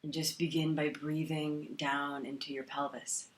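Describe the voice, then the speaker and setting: A woman teaching a guided meditation in a calm, soothing manner, leaving long pauses between phrases. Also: low voice.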